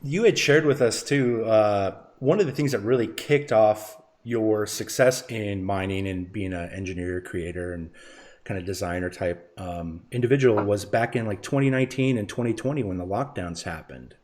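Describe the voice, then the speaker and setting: A man's voice: lowish voice, normal speed, darkish tone. A man co-hosting a podcast, friendly and laid-back, with many fillers. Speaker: podcast co-host. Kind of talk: casual podcast chat.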